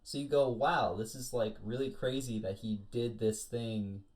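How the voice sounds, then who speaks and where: Male panelist, mid-pitched voice, informal discussion, explains in detail. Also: measured pace, a little mellow. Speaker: panelist on an anime discussion show. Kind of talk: casual chat.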